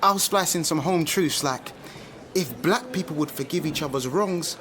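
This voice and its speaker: A man's voice: fairly high pitch, moderate pace, a bit bright, a little hiss or hum, lightly acted. An actor playing a confident, street-smart young man with a London accent in a radio drama, slangy and opinionated. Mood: upbeat. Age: young adult. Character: confident young British man, outspoken musician-turned-speaker, street-smart. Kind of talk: naturalistic radio drama dialogue.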